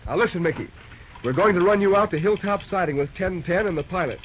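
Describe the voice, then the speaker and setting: An actor playing a brisk, determined young railroad dispatcher with an American accent, giving clipped, urgent lines in an old-time radio drama. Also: male voice, high-pitched, natural voice. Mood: commanding. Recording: dull, noisy vintage radio recording.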